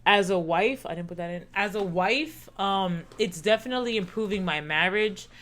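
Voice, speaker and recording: A woman's voice: mid-range voice, normal speed, fairly bright tone. Earnest woman podcast co-host. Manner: earnest, reflective, repeats herself. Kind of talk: casual chat.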